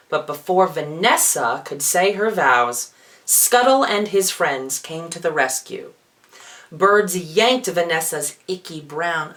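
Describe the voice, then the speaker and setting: Male drag queen, storybook reading, very slow pace, high-pitched for a man, expressive and theatrical. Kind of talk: children's storybook reading with playful asides.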